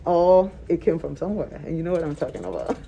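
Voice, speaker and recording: Mellow low-voiced woman hosting a talk show. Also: quick pace, creaky, low-quality recording. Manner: animated, repeats words for emphasis.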